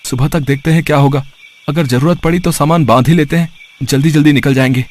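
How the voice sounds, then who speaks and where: A man's voice: fairly high pitch, steady tone, quick pace, darkish tone, lightly acted. A man voicing a poor, anxious labourer and father in a cartoon story, speaking earnestly. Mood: reassuring. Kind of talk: Hindi cartoon story character voice.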